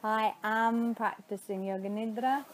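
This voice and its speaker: A woman teaching yoga nidra, guiding a meditation in a soothing, unhurried way with spacious pauses between phrases. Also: on the high side, steady tone. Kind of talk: guided meditation.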